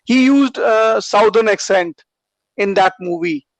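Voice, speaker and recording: A man's voice: high voice, a little slow, dry recording. Inquisitive Indian man on a video call. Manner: inquisitive, asks questions, repeats words back. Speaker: English learner. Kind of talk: casual online conversation lesson.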